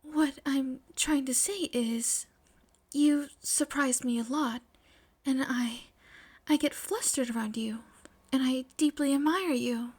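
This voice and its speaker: A shy teenage girl, acted by a woman, proper and earnest, in a fan-made audio story. Her voice is high and soft, breathy at times, and she speaks in a hesitant, flustered way. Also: slow pace. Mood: earnest, nervous. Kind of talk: fan fiction audio drama.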